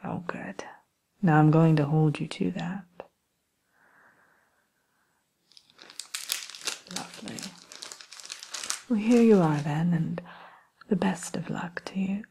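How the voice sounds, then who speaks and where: A woman playing a sly, genteel evil queen shopkeeper in a soft, breathy, often whispered ASMR role play. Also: lowish voice, exaggerated voice. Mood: sweetly courteous. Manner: unhurried, genteel, slyly menacing.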